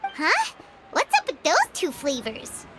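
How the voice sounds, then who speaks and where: A woman voicing a tiny, excitable, blunt fairy companion with a squeaky childlike delivery in a video game. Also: takes it slowly, exaggerated voice. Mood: curious. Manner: squeaky, childish, speaks of self in third person. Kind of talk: video game character acting. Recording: loud game music behind.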